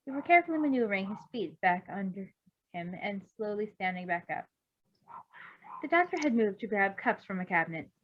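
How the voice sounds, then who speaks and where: A woman's voice: mid-range voice, animated, brisk, lo-fi sound, slight background noise. A woman reading fan fiction aloud as an amateur narrator, expressively and informally. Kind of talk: expressive story narration with casual asides.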